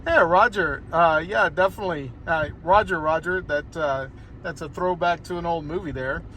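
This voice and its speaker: American man, high-pitched voice, casual ham radio chat, rambling, in a car, faint background noise. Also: normal speed, pushed and bright. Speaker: amateur radio operator. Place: inside a parked car outdoors on a hillside.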